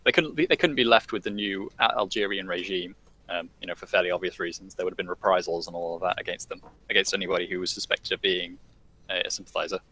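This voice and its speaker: Bright-voiced man, hesitant guest talk. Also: low voice, quick pace, low-quality recording. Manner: hesitant, many fillers and restarts. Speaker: guest commentator. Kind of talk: casual podcast discussion.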